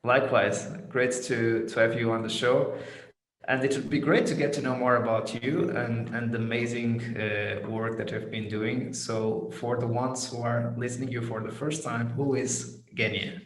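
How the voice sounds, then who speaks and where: A man's voice: mid-range voice, level tone, normal speed. A man hosting a business podcast, introducing, explaining and putting questions to a guest. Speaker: podcast host. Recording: roomy, echoing sound.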